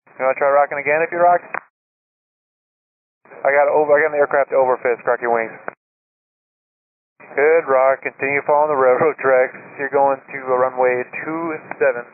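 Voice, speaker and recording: A man's voice: mid-range voice, fairly even intonation, normal speed, long pauses, no echo. A man working as an air traffic controller, giving clipped, directive instructions over an aviation radio. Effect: aviation radio transmission. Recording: tinny narrowband aviation radio with static. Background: clear radio noise.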